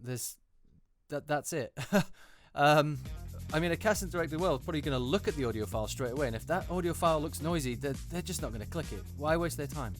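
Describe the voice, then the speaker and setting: A man's voice: a little high, expressive, quick pace, soft background music. A man hosting an unscripted video, giving casual advice and commentary in a hesitant, thinking-aloud manner.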